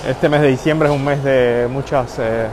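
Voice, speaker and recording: A man's voice: medium pitch, steady tone, normal speed. Man walking a busy mall on a phone livestream. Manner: greets viewers by name while walking. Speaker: livestream host. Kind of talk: live walking tour commentary. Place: busy indoor shopping mall. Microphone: handheld phone camera. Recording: phone live stream with loud mall noise. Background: crowd and mall ambience.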